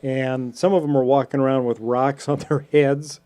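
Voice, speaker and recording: A man's voice: mid-range voice, level tone, normal speed, dark tone, clear, resonant tone. A man co-hosting a casual podcast, playful and hesitant, with many fillers. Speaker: podcast co-host. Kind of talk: casual chat.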